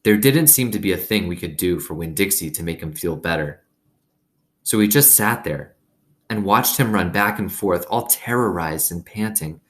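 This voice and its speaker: Deep-voiced man reading a story aloud. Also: lively intonation, moderate pace. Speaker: read-aloud narrator. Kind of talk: expressive children's novel read-aloud.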